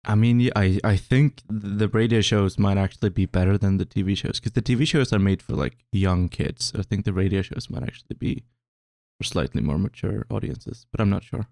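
A man's voice: lowish voice, fairly quick, creaky, darkish tone, no room sound, clean studio sound. A young Swedish man co-hosting a podcast in casual chat, speaking hesitantly with many restarts. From Sweden. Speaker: podcast co-host.

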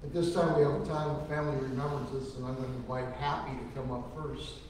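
Earnest pastor in an echoey church. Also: male voice, medium pitch, flat delivery, fairly quick, recorded from a distance, background noise. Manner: earnest preaching with folksy storytelling. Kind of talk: funeral sermon. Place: large church sanctuary. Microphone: lectern microphone from a far camera.

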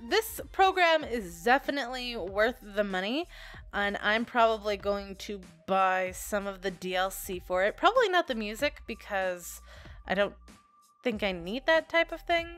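A woman's voice: medium pitch, pushed and bright. A young woman rambling casually to viewers in an informal, self-deprecating, giggly manner, as a video creator. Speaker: video creator reviewing software. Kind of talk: casual rambling chat to viewers over a screen recording.

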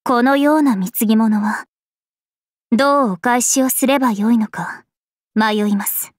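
A woman playing a regal, serene young planetary spirit princess in an anime game, speaking formally and with measured elegance. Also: fairly high pitch, dry recording. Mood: serene, reflective. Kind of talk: scripted anime game voice lines. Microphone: studio microphone. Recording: music behind a close dry studio voice.

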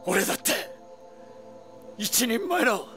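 Young gangster underboss: high, sharp-edged voice, loyal, pleading, desperate to prove himself, game drama. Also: male voice, sing-song, takes it slowly, soft background music, full character voice. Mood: anguished, defiant. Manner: earnest, pleading, deferential to his boss. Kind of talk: dramatic video game voice acting.

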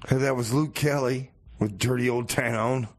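A man's voice: medium pitch, steady tone, moderate pace, lightly acted. An American man hosting a radio show, playing a laid-back, skeptical DJ in comic banter and song announcements. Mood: easygoing announcing.

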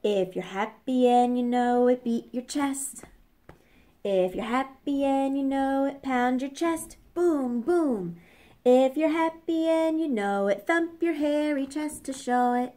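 Storybook gorilla, played by a woman: scornful, high-pitched, slow sing-song. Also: dark tone. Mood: boastful singing. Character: scornful hairy gorilla, singing.

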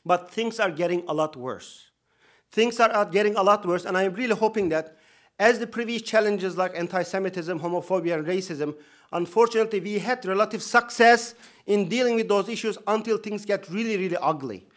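A man in his thirties with a Turkish accent and a fairly high voice speaks from a podium in a lecture hall. He talks in an earnest, emphatic way, with touches of dry humor. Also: brisk. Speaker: imam giving opening remarks. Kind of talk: conference speech. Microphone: podium microphone.

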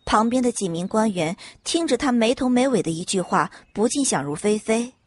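A woman's voice: on the high side, lively intonation, normal speed, no room sound. A woman reading scripted audiobook narration as the storyteller. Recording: music under the narration.

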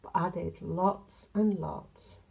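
A British woman in her sixties reads a children's picture book aloud, expressively, with gentle asides addressed to the children. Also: fairly low pitch, steady tone, drawn-out words, creaky. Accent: British English. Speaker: storyteller, infant school teacher. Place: indoors in a home hallway.

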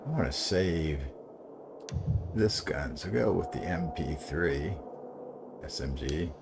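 Very deep, gravelly man narrating gameplay. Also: expressive, takes it slowly, heavy background noise. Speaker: gamer narrating a playthrough. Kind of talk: casual gameplay commentary. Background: video game ambience.

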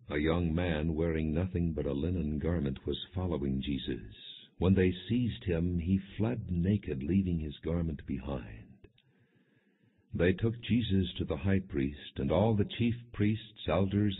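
Male audiobook narrator for scripture: low, husky, solemn, measured delivery. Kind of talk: scripted scripture narration, non-dramatized reading. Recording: muffled, narrow phone-like sound.

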